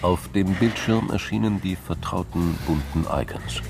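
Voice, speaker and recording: Very deep, gravelly male narrator. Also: normal speed. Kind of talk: scripted crime drama narration. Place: studio. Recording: music behind.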